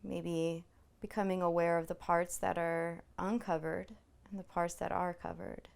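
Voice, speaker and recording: A woman meditation instructor leading a guided body scan in a soothing, gently reassuring way, unhurried and leaving long pauses. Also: fairly low pitch, little pitch movement, gravelly.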